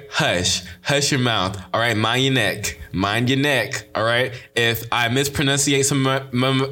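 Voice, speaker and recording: A young man with a slight lisp hosts his own podcast in casual solo talk. He is sassy and emphatic and leans on repeated catchphrases. His voice is bright and forceful. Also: medium pitch. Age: young adult. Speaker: podcast host.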